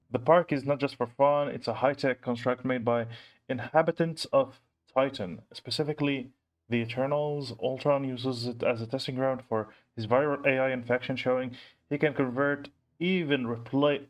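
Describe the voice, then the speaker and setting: A man giving casual reaction commentary as a host, repeating words as he thinks aloud. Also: mid-range voice.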